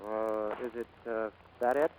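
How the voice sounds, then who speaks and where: An actor playing a kindly, earnest plane builder in 1940s radio drama, gentle and a little hesitant. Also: male voice, fairly low pitch, fairly even intonation, measured pace, slight background noise, lightly acted, low-quality recording. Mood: gently concerned.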